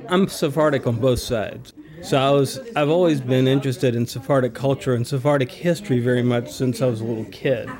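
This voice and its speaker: A man's voice: mid-range voice, brisk, a crowd in the background. A man in his fifties from the United States, speaking plainly and self-deprecatingly in an interview. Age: 50s.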